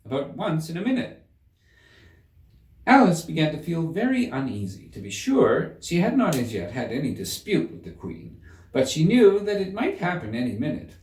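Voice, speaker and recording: Very animated man reading a storybook. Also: a little high, moderate pace, distant mic. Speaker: audiobook reader. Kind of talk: expressive scripted storybook narration. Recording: roomy echo, slightly off the mic.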